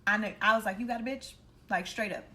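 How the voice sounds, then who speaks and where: A woman casually telling a personal story in an animated, slangy, heavily profane way, acting out the dialogue as she goes. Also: mid-range voice, fast. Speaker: storytime vlogger.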